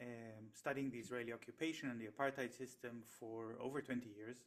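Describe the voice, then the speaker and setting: Measured man, Hebrew native speaker. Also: mid-range voice, fairly even intonation. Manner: measured, with frequent "uh" pauses and restarts. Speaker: economist and researcher, interview guest. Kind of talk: explanatory interview answers.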